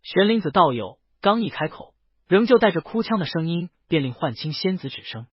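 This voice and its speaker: A man narrating a fantasy novel in an expressive, storytelling manner. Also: fairly high pitch, a little fast, breathy edge, no echo, muffled recording. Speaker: audiobook narrator.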